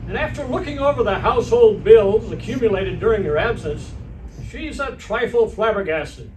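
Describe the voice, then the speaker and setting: A man's voice: high voice, expressive, moderate pace, darkish tone. A man playing an old-time radio announcer, reading scripted introductions and sales copy on stage. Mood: presenting. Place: live theater stage performance. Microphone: far from the microphone. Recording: noisy, distant stage recording.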